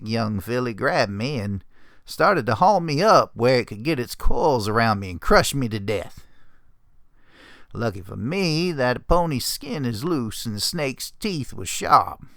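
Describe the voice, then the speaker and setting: A man voicing a grumpy, grizzled old retired adventurer pony, telling his story in a gravelly, folksy, curmudgeonly way. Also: medium pitch, a bit bright, no echo, studio quality. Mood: irritated, gruff. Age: older. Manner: folksy, curmudgeonly drawl with clipped phrases. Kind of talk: first-person storybook narration.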